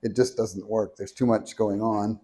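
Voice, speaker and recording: A soft-spoken man reading a prepared talk into a handheld microphone at a meeting, measured and deliberate, with small restarts. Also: lowish voice, fairly even intonation, husky. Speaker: speaker at a meeting. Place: meeting room with an audience.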